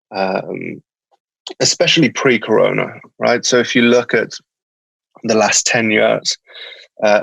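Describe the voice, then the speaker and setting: Guest: man, Birmingham accent, low gravelly voice, dry close sound, hesitant delivery. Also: no room sound, rough recording. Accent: Birmingham English. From England, Birmingham. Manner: hesitant, full of ums and restarts. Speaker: podcast guest, company founder. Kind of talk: casual interview talk.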